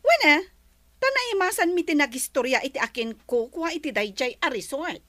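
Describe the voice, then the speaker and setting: A woman's voice: high voice, very animated, moderate pace, fairly bright tone, no room sound. An actress playing a haughty wealthy woman who talks to her driver in a cutting, scolding way. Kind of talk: radio drama acting.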